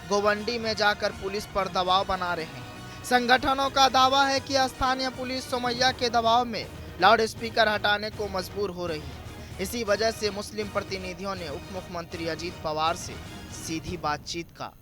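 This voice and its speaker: A man's voice: high voice, fairly even intonation, normal speed. A man reading a dramatic scripted news report as a voice-over. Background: music bed.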